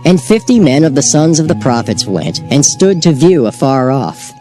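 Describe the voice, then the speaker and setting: Man reading scripture like a story, lively pitch, soft music behind. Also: normal speed. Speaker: narrator. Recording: narration over background music.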